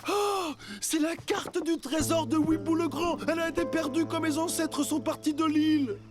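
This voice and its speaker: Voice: high voice, moderate pace, natural voice. Une voix de doublage de dessin animé qui joue un pirate peureux et superstitieux, inquiet, prompt à s'exclamer. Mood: awed. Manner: fretful, exclamatory. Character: timid, superstitious pirate crewmate, afraid of ghosts. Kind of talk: children's cartoon dubbing. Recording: loud music behind the voice.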